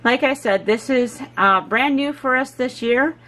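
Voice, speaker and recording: Slow-talking woman homesteader on camera. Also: high voice, sharp tone. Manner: chatty, explanatory, with many fillers. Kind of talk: casual how-to vlog. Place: porch outdoors, then inside a small home. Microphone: handheld phone camera.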